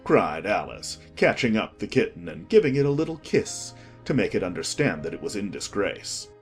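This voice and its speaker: Animated male storybook narrator. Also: lowish voice, moderate pace, soft background music. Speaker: audiobook narrator.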